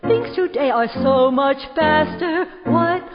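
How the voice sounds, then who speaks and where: A woman's voice: high voice, slow pace, long reverb tail, over loud music. A woman singing in musical theatre style on a demo recording. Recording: dull demo with loud backing. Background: loud musical accompaniment.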